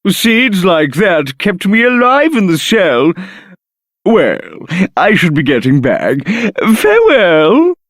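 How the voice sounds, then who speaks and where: A fussy, pompous official for a video game. His voice sits high and rises and falls dramatically, unhurried in pace. The delivery is effusive and theatrical. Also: wildly swooping pitch, a bit bright, no echo, clean studio sound, exaggerated voice. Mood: grateful, earnest. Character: fussy, self-important male government official. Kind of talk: video game character acting.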